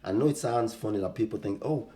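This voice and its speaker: A man's voice: on the low side, fast talker, a crowd in the background. A man, chatting casually as an interview guest and telling anecdotes, often repeating himself. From West Indies. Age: 60s. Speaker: podcast guest, singer-songwriter.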